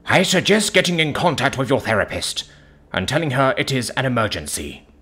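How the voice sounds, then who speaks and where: Man playing a gentle, firm counsellor with lively intonation. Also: mid-range voice, breathy edge, pitched above the performer's own voice, exaggerated voice. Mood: calm, advising. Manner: measured, earnest, preaching in metaphors. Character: calm, devout male counsellor, gentle but firm.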